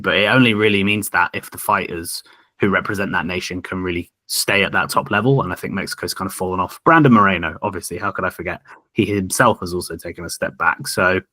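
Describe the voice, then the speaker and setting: A man with a very low but bright, forceful voice hosts a podcast in casual chat. He talks in a rambling, thoughtful way and steers the discussion. The recording is dry and close. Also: dry recording. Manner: rambling, thoughtful, leads the talk. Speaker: podcast host.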